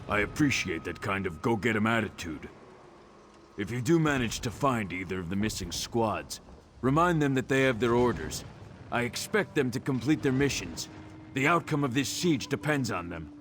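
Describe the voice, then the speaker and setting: An orc commander running a siege in a fantasy game. The voice is low and gruff, weary yet blunt and pragmatic, with a sardonic edge. Music plays faintly behind the lines. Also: male voice, a bit bright. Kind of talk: video game character dialogue.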